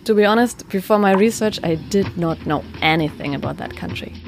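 A woman reporter narrating a documentary report in a clear, steady, explanatory way. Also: lowish voice, fairly quick, slight background noise.

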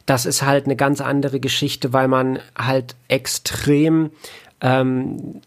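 A man's voice: medium pitch, level tone, slow pace. A man speaking as a guest in a casual interview, explaining things in a rambling, conversational way with many filler phrases. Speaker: interview guest, audio drama producer and author.

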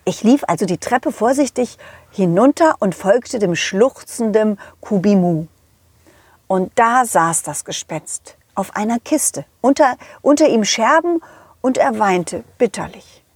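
A woman narrating a children's story in an animated storyteller's style. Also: mid-range voice, measured pace, breathy edge, dry recording. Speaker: storyteller and puppeteer.

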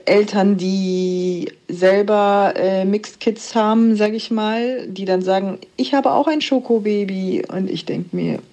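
A woman's voice: medium pitch, steady tone, slow pace, few pauses, dark tone. A woman in her thirties from Germany, chatting on a podcast in a plain, matter-of-fact way. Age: 30s. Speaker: podcast guest. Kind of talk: casual podcast chat.

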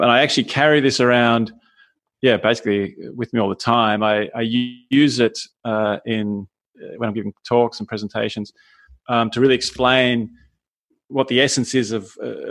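A middle-aged Australian man with a gravelly voice, a scientist guest on a podcast, talking with many hesitations and restarts. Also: lowish voice, fairly bright tone. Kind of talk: podcast interview.

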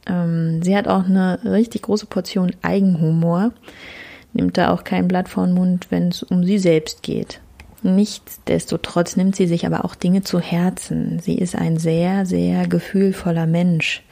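A woman describing a friend in a quick, chatty voice message. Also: fairly low pitch, flat delivery, gravelly, warm tone. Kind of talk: casual voice message.

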